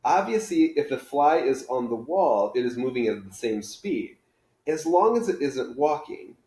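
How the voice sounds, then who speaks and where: A man's voice: mid-range voice, sing-song, moderate pace, mellow, close to an everyday voice. A man reading a children's book aloud as a bookish, literal-minded schoolboy with a precise, matter-of-fact manner. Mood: pondering. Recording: roomy living-room echo.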